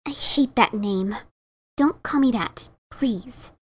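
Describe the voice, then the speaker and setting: A woman's voice: fairly high pitch, slow, slightly rough. A woman voicing an exasperated pegasus mother mare, scolding and sarcastic, in a dramatic reading. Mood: hurt, upset. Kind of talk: dramatic reading of a fan story.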